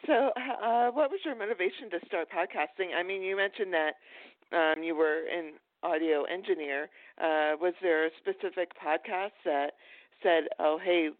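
A woman hosting a conversational podcast interview, with hesitant, halting phrasing. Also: lowish voice, dry recording. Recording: thin phone-like audio.

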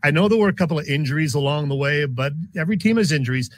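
A man's voice: fairly high pitch, animated, racing. An American man co-hosting a sports show, talking and asking questions in a conversational style.

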